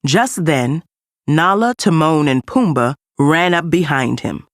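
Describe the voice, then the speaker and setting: A woman reading a scripted children's read-along story as an expressive storyteller. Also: low-pitched, slow pace, dry recording, clean studio sound. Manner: expressive storytelling with character voices. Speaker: storybook narrator.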